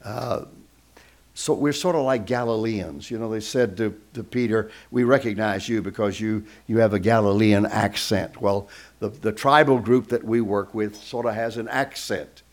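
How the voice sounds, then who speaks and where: A man's voice: on the low side, normal speed. A man who is a guest speaker, telling a story in a sermon and acting out the voices of the people in it.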